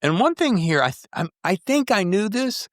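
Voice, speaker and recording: A man's voice: high-pitched, sing-song, normal speed, a bit bright, no echo, studio quality. A middle-aged or older man hosting a podcast in a casual, playful and rambling way, with self-teasing asides. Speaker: podcast host.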